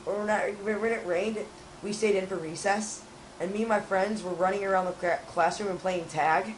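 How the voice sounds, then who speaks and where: A young man with a raspy, hoarse voice from a cold, rambling in casual chat as a channel co-host. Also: sits high, steady tone, moderate pace, slight background noise, poor audio. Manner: rambling, restarts often.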